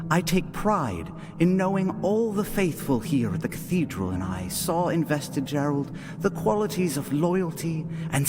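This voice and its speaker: An actor playing a solemn male cult leader, preaching a funeral sermon in a measured, lofty, oratorical manner. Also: fairly high pitch. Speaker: religious leader. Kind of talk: ceremonial sermon, dramatic audio acting.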